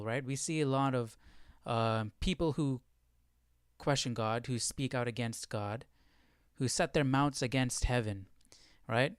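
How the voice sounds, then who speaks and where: Man thinking aloud, clear dry voice. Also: mid-range voice, no room sound. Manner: questioning, explanatory, often checks with "right?". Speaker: livestream Bible study host. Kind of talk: casual live Bible commentary, thinking aloud.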